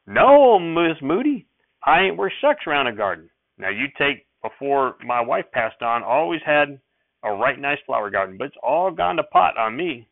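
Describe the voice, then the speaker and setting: A man voicing a loud, folksy, middle-aged small-town sheriff with a rustic drawl, heard over a tinny radio recording. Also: mid-range voice, big pitch swings, moderate pace, creaky, no room sound, voice pushed up. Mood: hearty. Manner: hollering, rustic drawl, hearty.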